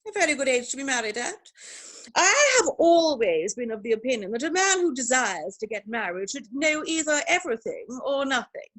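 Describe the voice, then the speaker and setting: A woman plays a haughty Victorian matriarch from a stage comedy. Her voice is high and husky, and it swoops grandly as she pronounces on everything with pompous, snobbish certainty. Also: big pitch swings, a bit bright, exaggerated voice, rough recording. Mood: brisk, appraising. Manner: pompous, haughty, emphatic, deliberate delivery. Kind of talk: theatrical comedy of manners reading. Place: virtual performance from home in isolation.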